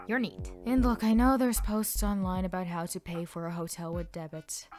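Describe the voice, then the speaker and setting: A nineteen-year-old from Canada whose first language is Russian, telling a story in a casual, animated, self-deprecating vlog. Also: medium pitch, normal speed, faint music behind. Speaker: vlogger.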